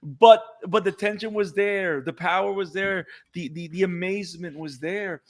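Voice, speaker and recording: A man from Puerto Rico, a native Spanish speaker with a Puerto Rican accent, talking excitedly as a podcast co-host in casual chat. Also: high-pitched, moderate pace. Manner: excited, repeats words when fired up.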